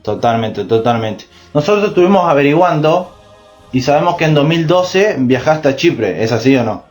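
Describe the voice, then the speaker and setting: A man's voice: fairly high pitch, animated, normal speed. A man with an Argentine accent interviews someone conversationally, asking questions and adding short acknowledgements. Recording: phone interview with music.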